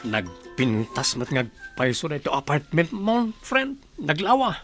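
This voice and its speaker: A man's voice: a little high, wildly swooping pitch, a little slow, over music. A man playing a gossipy, teasing confidant in an Ilocano radio drama. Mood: admiring, teasing. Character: gossipy, teasing friend of the mistress.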